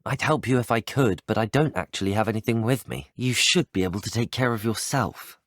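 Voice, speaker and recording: An actor playing a polite, earnest teenage student wizard in a video game, with a British accent and courteous, articulate speech. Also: male voice, on the low side, brisk, no room sound, clean studio sound, close to an everyday voice. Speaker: video game player character. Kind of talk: scripted game dialogue.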